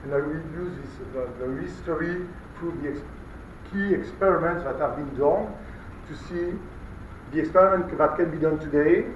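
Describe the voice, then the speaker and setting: A man's voice: fairly high pitch, distant mic, lo-fi sound, a little hiss or hum. French-native man lecturing in an echoey hall. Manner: halting, many fillers and restarts. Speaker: guest lecturer. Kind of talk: university lecture. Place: large lecture hall, indoors. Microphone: camera far from speaker.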